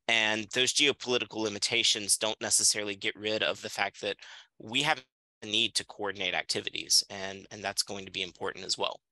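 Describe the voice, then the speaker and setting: A man's voice: medium pitch, bright, forceful tone, dry recording, low-quality recording. A man speaking on a video call with a headset, hesitant with many restarts, as a conference panelist. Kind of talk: conference remarks. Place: indoor room, remote.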